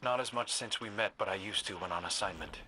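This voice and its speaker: A lone scout in a game cinematic. His voice is fairly low but forceful and crisp-edged, dry and guarded, with faint ambient noise behind. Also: quick pace, rough recording. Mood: wary, dry. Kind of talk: cinematic video game dialogue.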